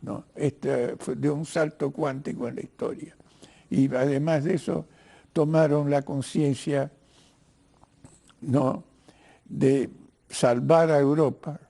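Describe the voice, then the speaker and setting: An older man with a Cuban-Argentine Spanish accent, speaking haltingly and professorially as a guest in a political interview, often repeating words as he searches for the next. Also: on the high side, fairly even intonation, gravelly, dark tone. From Cuba. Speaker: interview guest, writer and lawyer. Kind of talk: political discussion in a broadcast interview.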